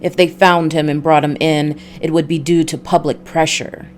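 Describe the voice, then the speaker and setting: A woman's voice: low voice, flat delivery, normal speed, slight background noise, close to an everyday voice. A woman voicing a world-weary ex-cop private detective, narrating a noir visual novel in a dry, brooding way. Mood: cynical.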